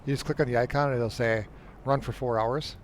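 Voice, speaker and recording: A man's voice: mid-range voice, steady tone, a little fast, a little hiss or hum. A man chatting casually while playing a game at home, with a dry, teasing manner. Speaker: streamer playing an online game. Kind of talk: casual gameplay chat.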